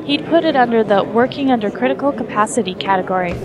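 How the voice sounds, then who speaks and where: A woman's voice: a little high, quick pace, lo-fi sound, background noise. Woman narrating over crowd sounds. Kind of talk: scripted story narration.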